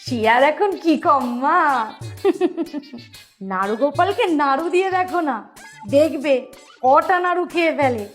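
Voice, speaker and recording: A woman's voice: high voice, expressive, measured pace, pauses often, faint music behind. A woman voicing a sweet, coaxing young bride-to-be, a daughter in a cartoon, with a girlish manner. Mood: teasing, fond. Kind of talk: cartoon voice acting.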